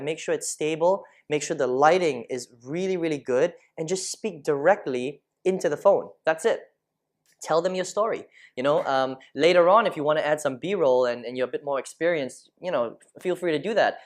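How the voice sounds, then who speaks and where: Man, 30s, from Malaysia, online marketing instructor, chatty tutorial, lapel mic. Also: fairly high pitch. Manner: chatty, keeps checking in with "okay?". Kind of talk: casual screen-share tutorial.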